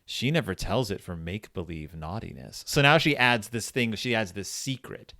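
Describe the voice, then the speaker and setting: A man's voice: on the low side, animated, moderate pace, pushed and bright, dry recording, studio quality. A man hosting a podcast, casually and enthusiastically retelling and discussing a story, sometimes reading passages aloud. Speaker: podcast host.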